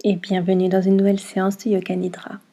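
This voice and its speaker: A woman leads a guided meditation as a yoga nidra teacher. Her voice is mellow and slightly husky, with little melodic movement. She gives short, soothing instructions and leaves long, calm pauses between them. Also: medium pitch.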